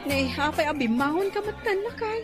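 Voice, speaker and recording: A woman's voice: high voice, animated, fairly quick, loud music behind the voice. A woman acting a weary, grumbling neighbour who lacks sleep, in an Ilocano-accented radio drama.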